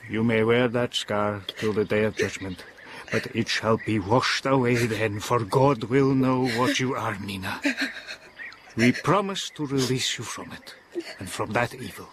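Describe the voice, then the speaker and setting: A man's voice: fairly low pitch, measured pace, a bit bright, a little hiss or hum. An actor playing a solemn, fatherly professor who hunts vampires in a gothic audio drama, speaking in stilted phrases.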